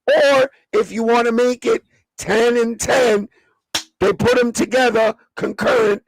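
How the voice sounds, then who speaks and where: A man with a raspy voice, hosting a casual livestream and breaking things down step by step in a streetwise, profane way. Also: extremely high pitch, steady tone, measured pace, slight background noise, poor audio. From New York. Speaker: livestream host.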